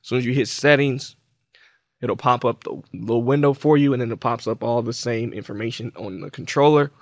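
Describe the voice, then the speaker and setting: A man with a mid-pitched voice walks through software in a casual tutorial style. He talks informally and explains each step as he goes. The voice-over is close and dry, with very little room sound. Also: brisk, no echo. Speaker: tutorial presenter.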